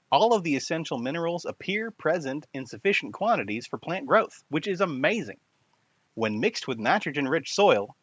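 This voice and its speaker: A man's voice: a little high, fairly bright tone, breathy edge, no echo. A man playing an eccentric, enthusiastic soil science professor with theatrical, precise academic delivery, recording a scripted voice memo. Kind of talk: scripted voice memo in audio drama. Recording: dull, lo-fi voice memo, dry.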